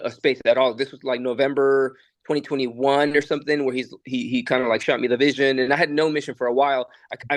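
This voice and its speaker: A man's voice: medium pitch, no room sound. Chill young guy rambling on in a studio, lots of um and like, dry close sound. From El Salvador. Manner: laid-back, rambling, many fillers and restarts. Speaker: musician and studio producer. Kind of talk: casual podcast conversation. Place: recording studio.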